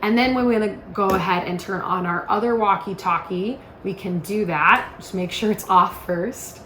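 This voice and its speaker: In a roomy, echoing space with faint noise behind her, a woman demonstrates a product. She speaks casually and informally at a middle pitch, walking the listener through each step like a chatty instructor. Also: moderate pace, sharp tone.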